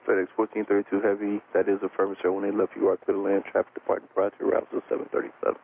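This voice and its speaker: A man's voice: fairly low pitch, completely flat delivery, very fast, vocal fry. A man working as a tower air traffic controller, giving clipped, formulaic instructions in a matter-of-fact way over aviation radio. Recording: narrow tinny radio transmission, faint static.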